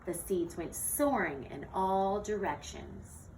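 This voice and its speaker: A woman teacher reading a children's picture book aloud in an expressive, theatrical storytelling manner. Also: mid-range voice, slow pace. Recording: distant mic with faint noise.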